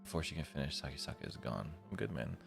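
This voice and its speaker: A man's voice: very deep voice, sing-song, fast talker, creaky. A man live streaming, reading a visual novel's narration aloud and breaking off to comment casually. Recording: music behind the voice. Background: guitar music from the game.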